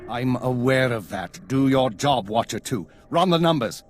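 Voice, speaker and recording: A male actor playing a commanding, weary middle-aged intelligence chief in a video game, husky and brisk. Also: medium pitch, lively intonation, over music, close to an everyday voice. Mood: stern, businesslike. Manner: brisk, authoritative.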